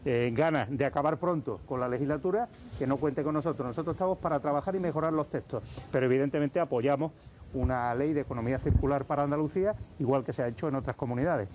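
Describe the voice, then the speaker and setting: A male politician giving a measured statement to the press, with hesitant fillers. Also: mid-range voice, a little mellow, a little hiss or hum, low-quality recording.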